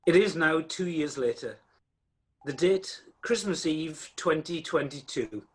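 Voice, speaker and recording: A man's voice: fairly high pitch, unhurried, slightly rough. A man playing the narrator of an amateur radio play, telling the story directly to the listener. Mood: storytelling.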